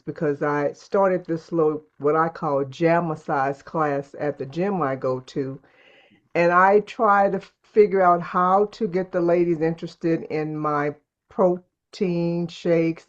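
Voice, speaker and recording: Low-voiced woman, hesitant delivery. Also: lo-fi sound. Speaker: participant asking for advice. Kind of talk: casual chat.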